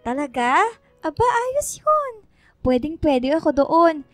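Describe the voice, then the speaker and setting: Radio drama mom, Filipino accent, high and very animated, eager, supportive wife. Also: female voice, takes it slowly, no echo, full character voice. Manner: exclamatory, eager. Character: lively, supportive wife and mother. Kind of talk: radio drama acting, animated family talk. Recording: dry voice with music bed.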